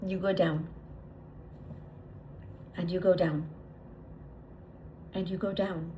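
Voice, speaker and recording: A woman leading a guided visualization, speaking to the listener in a deliberate, repetitive way with lingering pauses. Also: fairly low pitch, darkish tone, a little hiss or hum. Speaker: guided meditation leader.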